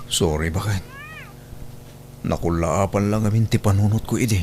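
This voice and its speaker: Casting: man to play penitent husband, fairly low voice, Ilocano accent, apologetic and hesitant, audio drama, slight background noise. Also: normal speed, a little mellow, lightly acted. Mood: remorseful. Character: remorseful husband pleading for forgiveness.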